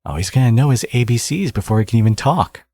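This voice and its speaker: Man acting as a flirtatious villain, coaxing, intimate audio roleplay, creaky husky voice, very dry close sound. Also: mid-range voice, lively intonation, fast talker, darkish tone, no room sound. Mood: playful, doting.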